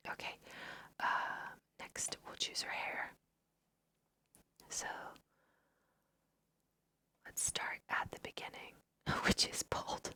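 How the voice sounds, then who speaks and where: A woman's voice: takes it slowly, pauses often, sharp tone. Whispering woman, ASMR gaming host. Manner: casual, musing, addresses viewers. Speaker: let's play host. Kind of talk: whispered ASMR game commentary.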